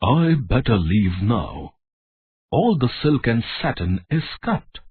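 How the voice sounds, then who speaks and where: Man acting a poor old storybook tailor muttering to himself, really husky, lowish, swings up and down. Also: sing-song, slow pace, narrow, tinny audio, heavily acted. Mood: tired musing. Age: older. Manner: musing aloud to himself. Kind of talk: children's storybook character acting.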